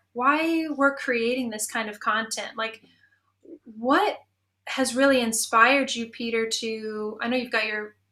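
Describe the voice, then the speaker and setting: A woman's voice: on the high side, sharp tone. A woman leading a casual panel talk in a chatty, informal and enthusiastic way. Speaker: panel moderator, musician and indie audio drama creator.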